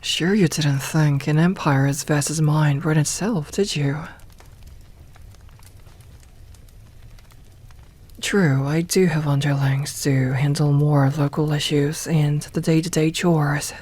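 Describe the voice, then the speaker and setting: Soft-spoken, commanding lamia queen, acted by a woman. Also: low voice, fairly even intonation. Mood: teasing, doting. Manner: soft-spoken, breathy murmurs slipping toward whisper, unhurried, formal. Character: evil lamia queen, doting, commanding, teasing mistress of an empire. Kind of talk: ASMR roleplay, intimate personal attention.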